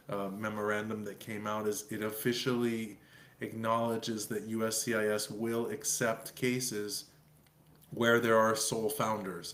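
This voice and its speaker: A man's voice: fairly low pitch, a bit bright. A middle-aged man from Argentina, an immigration lawyer, answering in an earnest, explanatory way on a video call. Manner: earnest, explanatory, repeats words as he restarts. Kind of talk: webinar interview answer. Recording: echoey room.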